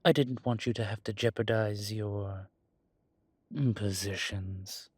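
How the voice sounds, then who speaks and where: An ancient, dangerous vampire elder acted by a man for an audio drama. His voice is very husky and he takes his words slowly and deliberately, proud and quietly menacing. It is recorded dry and close. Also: fairly low pitch, steady tone, dry recording. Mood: measured. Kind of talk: audio roleplay drama acting.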